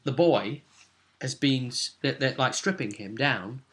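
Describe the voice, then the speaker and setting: A man's voice: mid-range voice, unhurried, fairly bright tone. A man working as game master, recapping a tabletop role-playing session in an informal, rambling storytelling way.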